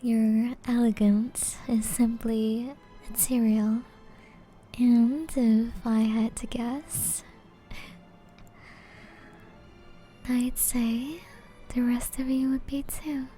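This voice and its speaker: A woman playing a flirty, elegant, ageless female vampire in an intimate ASMR roleplay, soft and often whispery, speaking in a refined, formal, teasing way. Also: a little high, flat delivery, drawn-out words, long pauses, faint music behind. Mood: seductive.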